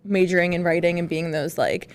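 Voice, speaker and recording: A woman's voice: fairly low pitch, fairly even intonation, a little fast. A woman, a podcast guest chatting casually with lots of "um" and "like". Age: 20s. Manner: chatty, many fillers like "um" and "like". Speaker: podcast guest, digital creator. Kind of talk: casual chat.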